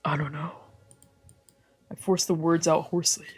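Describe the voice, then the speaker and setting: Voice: medium pitch, level tone, moderate pace, slightly rough. Streamer reading visual novel narration. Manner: reads narration in first person, translating lines, with whispered asides. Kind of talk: reading game narration aloud.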